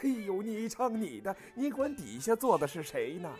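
Man acting a fawning, animated opera troupe boss. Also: high voice, moderate pace, soft background music. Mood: pleading. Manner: obsequious, pleading, exclamatory. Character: fawning older male opera troupe boss, wheedling and eager. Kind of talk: radio drama acting.

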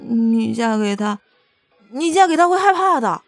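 A woman plays a naive, devoted young girl of a martial sect in a period audio drama. Her voice is high, and she pleads artlessly, referring to herself by name like a child. Music plays behind her. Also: animated, a little slow, no room sound. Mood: scheming. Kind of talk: Chinese costume audio drama acting.

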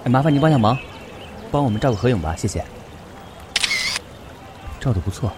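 A man acting a composed, considerate young teacher in a Chinese radio drama, speaking in a measured, understated way. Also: medium pitch, lively intonation, very noisy, close to an everyday voice. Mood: polite, calm. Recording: music behind the voice.

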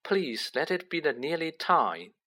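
An anxious schoolboy hero from a storybook, acted by a man. He sounds earnest and urgent, with a bright tone and very lively pitch. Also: measured pace, full character voice. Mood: miserable. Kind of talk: audiobook character acting.

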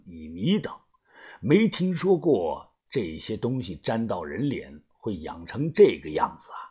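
A man voicing an older male gang leader in an audiobook who speaks low, quiet and measured. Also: husky, full character voice.

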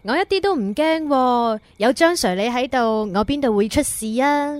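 A woman's voice: sits high, animated, normal speed, no room sound. A woman playing a sweet, teasing young museum worker in a radio romance, playful and coy. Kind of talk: romantic radio drama dialogue.

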